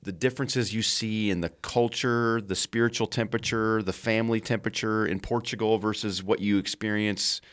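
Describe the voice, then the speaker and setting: A middle-aged man hosting a casual podcast, rambling and halting with frequent restarts. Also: lowish voice, steady tone, a bit bright, clear, resonant tone. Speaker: podcast host.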